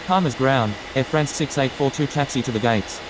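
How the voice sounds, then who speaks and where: A man with a middle-pitched voice gives standard airline radio calls in scripted wording. Loud noise accompanies him. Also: moderate pace. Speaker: airline pilot on air traffic radio. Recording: loud noisy hiss.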